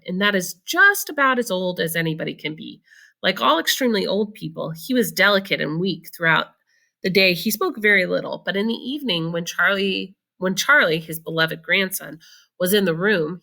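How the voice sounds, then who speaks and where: A woman reading a children's book aloud in an expressive narrating style. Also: medium pitch, moderate pace, gravelly. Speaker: storybook reader.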